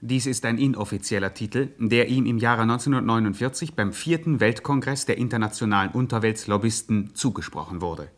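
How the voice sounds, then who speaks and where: An actor playing a deadpan, officious commentator in a satirical radio play. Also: male voice, fairly low pitch, brisk, few pauses, close to an everyday voice. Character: dry, pedantic male translator-commentator with mock-official air. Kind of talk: scripted narration of radio crime satire.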